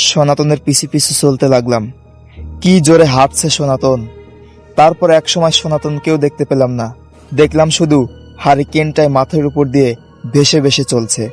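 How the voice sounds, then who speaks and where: A man's voice: fairly high pitch, darkish tone, lightly acted. A man acting a troubled village doctor who narrates his own ghost story in a scripted, suspenseful horror style. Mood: fearful.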